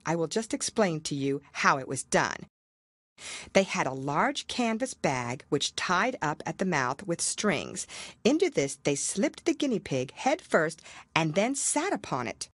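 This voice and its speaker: A woman's voice: on the low side, slightly rough, no echo. A woman narrating a children's storybook from a script as an audiobook reader.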